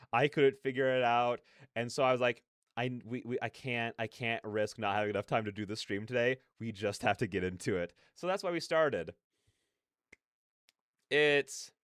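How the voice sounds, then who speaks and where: Male streamer, mid-pitched clean smooth voice, dry close sound, rambling and slangy. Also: normal speed, no echo. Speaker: livestream host. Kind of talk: casual reaction livestream chat.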